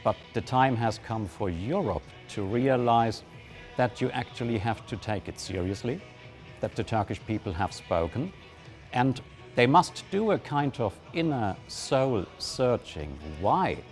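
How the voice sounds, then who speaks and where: A man from Germany, giving measured, deliberate political analysis on a live news panel. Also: medium pitch, lively intonation, faint music behind. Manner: measured, deliberate, with emphatic rises.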